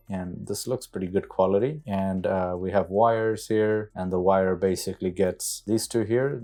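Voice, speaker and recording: Low, mellow-voiced man casually unboxing gear. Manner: tentative, thinking aloud while handling parts. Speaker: product reviewer, motorcycle rider. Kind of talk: casual unboxing walkthrough, talking to viewers.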